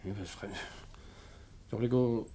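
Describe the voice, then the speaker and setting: An actor playing an evasive husband in a Swiss German radio comedy, hesitant and stammering. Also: male voice, lowish voice, fairly even intonation, moderate pace, dark tone, husky. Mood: sheepish, evasive. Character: sheepish, evasive flirtatious husband.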